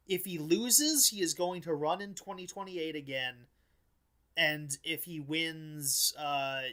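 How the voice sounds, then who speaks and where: A man hosting a podcast, chatting casually about politics in a blunt, halting way, often restarting and correcting himself. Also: medium pitch, pushed and bright. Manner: halting, frequent false starts and self-corrections, blunt. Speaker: podcast host.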